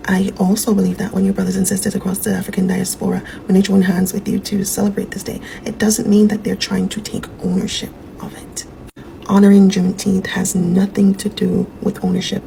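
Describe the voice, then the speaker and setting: A Jamaican American woman giving a measured, conciliatory response in formal wording. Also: mid-range voice, completely flat delivery, vocal fry, dark tone, slight background noise, poor audio. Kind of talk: measured spoken response to another poster.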